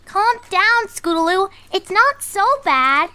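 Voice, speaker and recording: Sweetie Belle, a woman doing a sweet high filly. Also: lively intonation, takes it slowly, no echo, heavily acted. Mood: comforting. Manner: soft, coaxing, comforting. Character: sweet little unicorn pony filly, gentle and hopeful.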